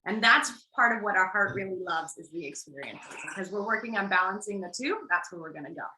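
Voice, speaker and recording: A woman's voice: mid-range voice, lively intonation, fast, bright, forceful tone, roomy sound, slight background noise, low-quality recording. An American woman, a life coach from the United States, co-hosting an online workshop over a video call in an upbeat, reassuring way, laughing often.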